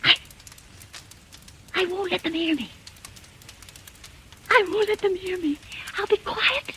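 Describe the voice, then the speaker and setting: A nervous, imperious invalid wife in a 1940s radio thriller. Her voice is extremely high and bright, halting and pleading in a theatrical, melodramatic way. A light layer of old-recording noise sits over it. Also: heavily acted. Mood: panicked, terrified. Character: frantic, imperious invalid wife, alone and terrified.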